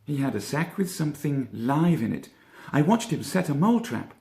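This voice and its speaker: A man's voice: on the high side, big pitch swings, moderate pace, gravelly, dark tone, roomy sound, voice pushed up. A man playing a level-headed, slightly pompous young rabbit in a children's storybook, wordy and reflective. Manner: reflective, wordy, self-important.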